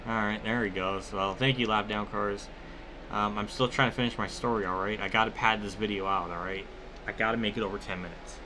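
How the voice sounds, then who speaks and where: A man's voice: lowish voice, steady tone, fairly quick, background noise. A man giving casual, rambling gameplay commentary mixed with personal storytelling, conversational and free with swearing. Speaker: gaming video creator.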